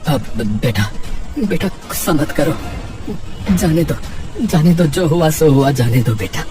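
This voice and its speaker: A man playing a gentle, forgiving poor family man in an emotional cartoon, pleading and repeating his words. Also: fairly high pitch, lively intonation, a little slow, far from the mic, over music.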